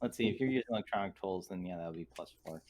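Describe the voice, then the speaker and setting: A man's voice: low voice, very fast, a little mellow, a little hiss or hum. A man speaking casually as a player in a tabletop roleplaying game. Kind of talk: casual chat.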